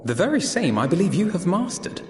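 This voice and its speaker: An earnest fantasy game officer with a fairly high male voice. He speaks formally and carefully, as if briefing allies, in an echoing space with music underneath. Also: very animated. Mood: grave, earnest. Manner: formal, measured, articulate. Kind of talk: fantasy video game dialogue. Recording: roomy echo, music behind.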